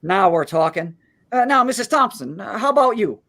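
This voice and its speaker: A man plays a kind-hearted small-town loan manager in a radio drama, his pitch swinging widely. He stammers and halts, flustered and earnest, as if always trying to persuade. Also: expressive, creaky, a bit bright, exaggerated voice. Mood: relieved, kindly. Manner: stammering, halting, pleading. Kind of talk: live radio play acting.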